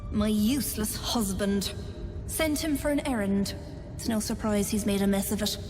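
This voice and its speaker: A woman's voice: medium pitch, normal speed, lightly acted. A woman voicing a blunt, scornful dwarf wife in a video game, dry and dismissive in her delivery. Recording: long reverb, music behind.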